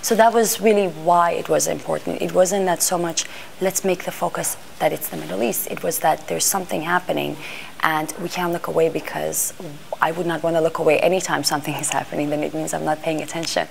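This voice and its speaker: Low gravelly woman, thoughtful interview. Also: steady tone, brisk, a little hiss or hum. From Iran, raised in Greece and Washington D.C. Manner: thoughtful, searching, many restarts and fillers. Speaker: art fair panel organizer. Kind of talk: interview monologue.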